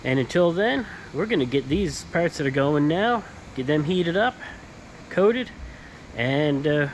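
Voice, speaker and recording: Higher-voiced man over clear background noise. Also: animated, a little slow. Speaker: host, powder coating tradesman. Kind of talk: casual workshop vlog presenting. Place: workshop. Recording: noisy workshop background.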